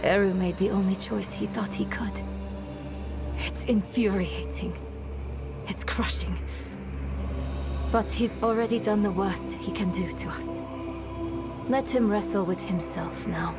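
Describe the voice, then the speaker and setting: Weary, resolute young female scholar, played by an actress, dark fantasy game, measured and slow, music behind. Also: mid-range voice, close to an everyday voice. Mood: grave, compassionate. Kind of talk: dramatic video game acting. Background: cinematic background music.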